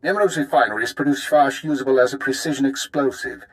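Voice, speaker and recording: An actor playing a stern imperial spymaster in a video game, giving briefings in a formal, measured, precise manner. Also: male voice, medium pitch, lightly acted. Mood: stern, businesslike. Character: stern, formal male imperial intelligence handler.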